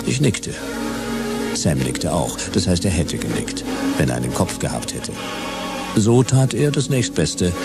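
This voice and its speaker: An actor playing a tough, sardonic private detective in a noir sci-fi radio drama, deadpan and laconic. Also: male voice, sits low, creaky, exaggerated voice. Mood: dry, wry. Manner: deadpan, laconic, clipped sentences. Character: hard-boiled male private detective, tough, sardonic, cool-headed. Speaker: lead actor and first-person narrator in a radio play. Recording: music behind the voice.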